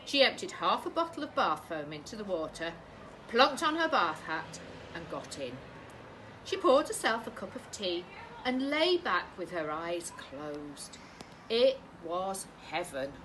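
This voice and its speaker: Female British teacher for children's story reading: bright, very animated, emphatic phrasing, slightly noisy recording. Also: on the low side, unhurried. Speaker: primary school teacher.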